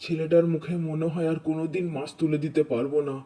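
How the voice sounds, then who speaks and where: A man's voice: on the high side, fairly even intonation, quick pace, dark tone, natural voice. A man voicing a poor, kind-hearted village fisherman and father in a cartoon, with a plaintive manner. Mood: sorrowful. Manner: plaintive, lamenting. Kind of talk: cartoon dubbing. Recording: music under the voice.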